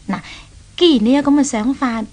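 A woman's voice: sits high, normal speed, a little mellow. An actress in a 1970s radio drama playing an easygoing, encouraging female companion with a chatty, persuasive manner.

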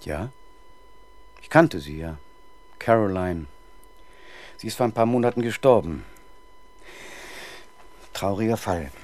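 A man's voice: lowish voice, expressive, slow pace, long pauses, a little mellow. An actor playing an indignant, pompous male town councillor in a 1970s German radio crime drama. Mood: guarded, brusque. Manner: blustering, pompous.

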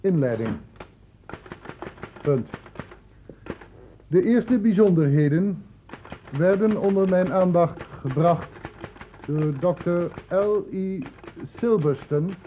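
An actor playing a brisk, sceptical public health medical inspector in a radio play. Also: male voice, sits high, animated. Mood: formal dictation. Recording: tinny, noisy old radio play recording.

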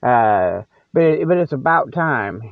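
A man's voice: mid-range voice, expressive, unhurried, vocal fry, no echo. A man hosting his own radio show, rambling solo in a folksy, colloquial, teasing way. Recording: tinny, band-limited radio sound, very dry.

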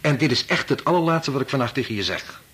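An actor playing a weary, sensible doctor husband in a Dutch radio play, rough-voiced, dismissive and patronising as he reasons things away. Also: mid-range voice, animated, quick pace, fairly bright tone. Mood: firm, lecturing. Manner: dismissive, reasoning, sighing interjections.

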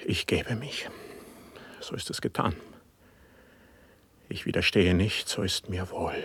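An actor playing an anguished, distrustful poet on the edge of madness, declaiming classical verse in a radio play. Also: male voice, lowish voice, gravelly, slightly rough, no echo, full character voice. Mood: resigned, grieving. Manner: declamatory, lamenting, impassioned.

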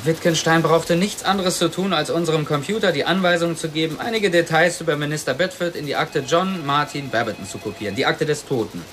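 An actress playing an analytical, matter-of-fact police investigator in a radio drama, explaining things deliberately. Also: female voice, sits low, natural voice. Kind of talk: radio crime drama dialogue.

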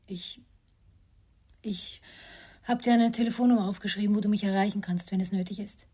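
An actress playing an estranged wife in a radio crime drama, resigned and coolly reproachful. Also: female voice, mid-range voice, fairly even intonation, normal speed, warm tone, slightly rough, lightly acted.

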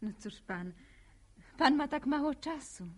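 Radio play role: thoughtful young countrywoman, high voice with lively melody, poised, bookish, quietly teasing. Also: measured pace. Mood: encouraging. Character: bookish, self-possessed young village woman, gently encouraging. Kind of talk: naturalistic radio drama acting.

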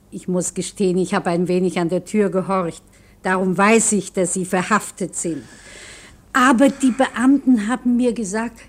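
Woman acting motherly old landlady, slow careful talk, simple and humble, a bit fretful, radio play. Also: medium pitch, natural voice. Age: older. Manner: hesitant, deferential, soothing.

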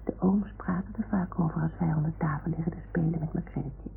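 A woman's voice: deep voice, fairly even intonation, fast, creaky. A woman voicing a naive, curious little toy dog in a radio play, with a childlike, wondering manner. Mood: naive, confiding. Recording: noisy, tinny old radio recording.

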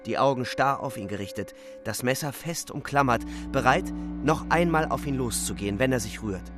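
An actor playing an anguished young soldier in a radio play, delivering a grave, halting, intense first-person monologue. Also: male voice, medium pitch, close to an everyday voice. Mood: tense dread. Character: anguished young male soldier recounting a killing in a shell hole. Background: music.

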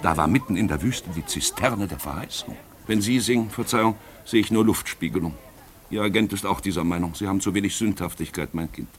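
An actor playing a worldly, paternal older playwright in a 1950s radio play, eloquent and drily ironic. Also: male voice, low voice, brisk, lightly acted. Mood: gently mocking. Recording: noisy 1950s radio drama recording.

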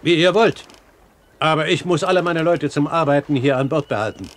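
An actor playing a self-assured, courteous pirate captain in a German radio play, speaking formally and with measured articulation. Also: male voice, fairly high pitch, natural voice. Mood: calm, authoritative. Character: self-assured male pirate captain, commanding yet courteous great-uncle. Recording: 1970s radio recording, faint hiss.

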